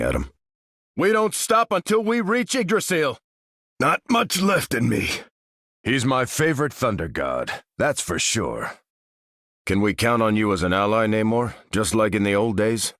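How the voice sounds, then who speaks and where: Steadfast superhero soldier, widely varied pitch. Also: male voice, a bit bright, no room sound, clean studio sound. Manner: earnest, commanding. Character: steadfast, noble male soldier superhero leading his team. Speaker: video game hero. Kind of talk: video game voice lines.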